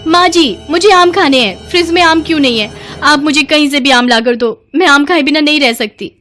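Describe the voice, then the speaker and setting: Woman playing a high-pitched demanding young daughter-in-law. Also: a little fast, pushed and bright, soft background music. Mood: demanding, agitated. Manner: whiny, insistent pleading.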